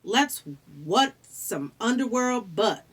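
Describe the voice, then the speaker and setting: A woman reads as a plucky young boy in a storybook adventure. He is earnest and insistent, a bit out of his depth, with very lively pitch. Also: slow pace, slightly rough, heavily acted. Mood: bewildered. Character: brave, bewildered young boy hero bluffing adults. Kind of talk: audiobook character voice.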